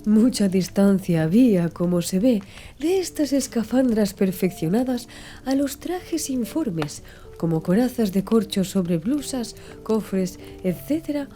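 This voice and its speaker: A woman narrates an audiobook in a soft, mellow voice. Her pitch moves in lively swings through the phrases, with music playing behind her.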